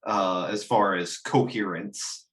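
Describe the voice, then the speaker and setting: A man's voice: low-pitched, takes it slowly. A man in a casual chat, rambling and swearing freely, often laughing as he talks. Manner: animated, rambling, profane, laughs while talking. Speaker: podcast panelist discussing films and games.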